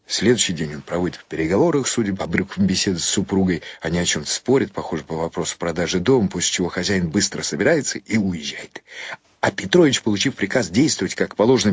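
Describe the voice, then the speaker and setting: Low-voiced man narrating literary prose. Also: husky, no room sound. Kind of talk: dramatized literary audiobook narration.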